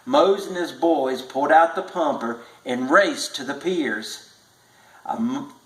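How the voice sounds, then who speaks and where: A man reading a tall tale aloud as a storybook narrator, measured and with stretched emphasis. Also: fairly high pitch. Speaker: storybook reader. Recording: echoey room.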